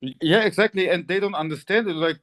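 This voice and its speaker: Guy on a podcast, kinda high voice, rambles with lots of likes and you knows. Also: fast, a bit bright. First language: Turkish. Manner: rambling, many fillers and restarts, laughs often. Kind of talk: casual chat.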